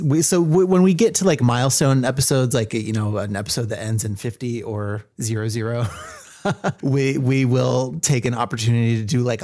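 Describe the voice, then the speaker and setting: A man's voice: mid-range voice, a little mellow. A man chatting casually as a podcast guest, with a wry, self-deprecating way of talking. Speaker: podcast host appearing as a guest. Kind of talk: casual chat.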